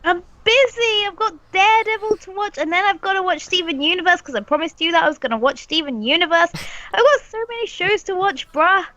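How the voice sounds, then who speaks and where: A woman's voice: very high-pitched, lively intonation, fairly quick, pushed and bright, no echo. A woman with a cold, chatting as a podcast guest, gushing about a TV show with emphatic, exaggerated talk. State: sniffly from a cold. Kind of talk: casual chat. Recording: dull lo-fi video call audio.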